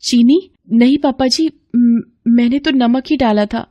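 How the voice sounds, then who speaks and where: A woman's voice: a little high, unhurried, no echo, highs cut off, pitched above the performer's own voice. A woman voicing a meek, apologetic young newlywed daughter-in-law in a cartoon, pleading and hesitant. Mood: pleading, anxious.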